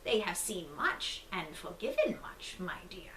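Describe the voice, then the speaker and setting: Woman voicing a hushed, coaxing storybook wolf. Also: mid-range voice, sing-song, a little slow, roomy sound, slight background noise, voice pushed down, poor audio. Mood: soft, coaxing. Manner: soft, coaxing. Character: sly male wolf posing as sweet grandma.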